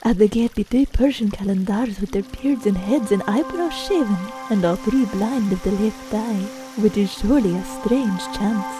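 A woman's voice: medium pitch, moderate pace, few pauses, music in the background, natural voice, poor audio. A woman playing a mirthful, teasing lady in an audio drama, speaking theatrically in archaic phrases. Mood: pleased, intrigued.

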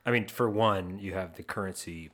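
Male podcast guest with a very deep radio-style voice, hesitant delivery. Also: a crowd in the background. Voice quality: low voice. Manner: halting, restarts often, self-conscious. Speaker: guest co-host. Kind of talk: casual podcast chat.

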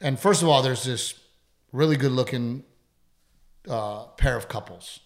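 A man's voice: medium pitch, a little slow. A male comedian and podcast host in casual chat, telling a long, rambling story with lots of restarts and swearing.

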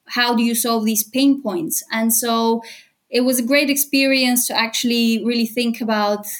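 A woman in her thirties, originally from Bulgaria, chatting lively as a podcast guest and laughing often. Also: a little high, normal speed. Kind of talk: casual interview chat.

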